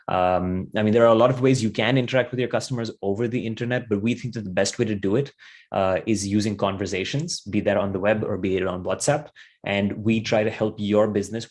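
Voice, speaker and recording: A young man with a fairly low voice runs a live marketing webinar from his computer. He talks in a loose, rambling way, piling on fillers and restarting his sentences. The sound comes through a plain computer microphone. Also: a little mellow. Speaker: webinar host, content marketer. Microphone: computer mic on a live webinar.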